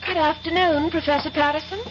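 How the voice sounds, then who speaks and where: A woman playing a brisk, self-assured young engineering student in a vintage radio mystery. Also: high voice, fairly even intonation, close to an everyday voice. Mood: brisk, blunt. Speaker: radio drama actor. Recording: muffled, hissy old radio recording.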